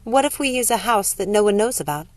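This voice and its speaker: Young nurse in a novel, read by a woman narrator: practical, reassuring, mid-pitched, dry recording. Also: lively intonation, fast talker, no room sound, natural voice.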